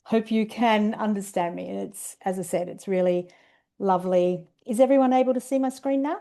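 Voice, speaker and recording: A woman with an Australian accent giving an online presentation over a video call, explaining ideas step by step in a measured way. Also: mid-range voice, creaky, warm tone. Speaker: webinar presenter.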